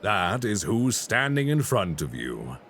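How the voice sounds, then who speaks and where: An actor playing a polite, quietly menacing male crime boss in an audio roleplay. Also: fairly low pitch, very animated, a little hiss or hum. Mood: smooth menace. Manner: slow, courteous and quietly menacing.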